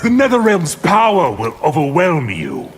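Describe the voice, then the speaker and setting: A man's voice: on the high side, huge pitch swings, normal speed, husky, exaggerated voice. A man playing a scheming, power-hungry sorcerer villain in a fighting game, speaking with condescending menace. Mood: sly, menacing. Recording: long reverb, loud background noise.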